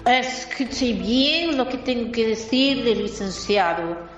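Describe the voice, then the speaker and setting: A woman's voice: fairly high pitch, expressive, a bit bright. A woman playing a mystical fortune-teller with solemn, dramatic delivery in a parody radio soap. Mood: solemn, prophetic. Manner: slow, portentous, dramatic delivery. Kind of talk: absurd parody radio soap opera acting. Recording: heavily echoing, distant, music behind.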